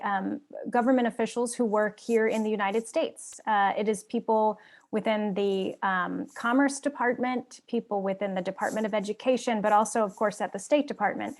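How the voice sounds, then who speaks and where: A woman who manages an exchange program, answering a question on a webinar panel with hesitant pauses and many ums. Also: mid-range voice. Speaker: civil service program manager on a career panel.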